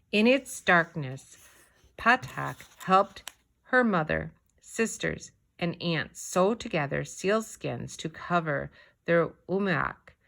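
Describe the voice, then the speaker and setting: Slow, bright-voiced woman reading a storybook. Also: low-pitched, gravelly. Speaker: storybook reader. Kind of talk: expressive children's read-aloud narration.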